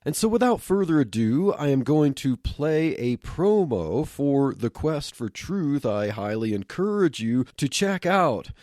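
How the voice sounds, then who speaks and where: A man's voice: medium pitch, big pitch swings, a little slow, few pauses, a little mellow, dry recording, clean studio sound. A man hosting a podcast, speaking conversationally in a solo introduction while getting over a head cold. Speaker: podcast host.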